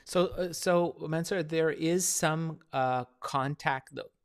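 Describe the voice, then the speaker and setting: Smooth-voiced man hosting an online panel. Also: fairly high pitch, slow pace. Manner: rambling, friendly questions with fillers. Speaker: panel host. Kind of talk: live online panel interview. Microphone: video call.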